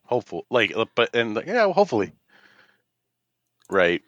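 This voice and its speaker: A man's voice: medium pitch, big pitch swings, normal speed, a bit bright, no echo. A man chatting casually as a podcast co-host. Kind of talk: casual chat. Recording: muffled, dry, band-limited microphone sound.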